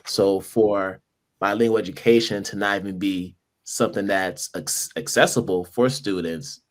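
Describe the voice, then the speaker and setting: Male podcast host, low voice, upbeat and welcoming, conversational. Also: moderate pace. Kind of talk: conversational interview.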